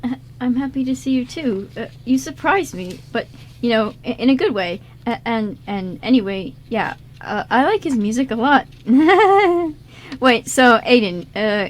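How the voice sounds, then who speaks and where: A woman's voice: a little high, animated, a little slow, slight background noise. A woman voicing a nervous teenage boy with a crush in an amateur radio play, stammering and flustered. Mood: awkward, shy. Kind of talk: amateur radio script reading.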